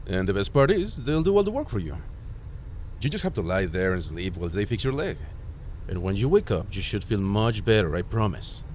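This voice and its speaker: A man playing a caring, worried ambulance medic who gently reassures an injured patient in an immersive audio roleplay. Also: fairly low pitch, sing-song, fairly quick, a little hiss or hum. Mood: soothing concern. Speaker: voice actor.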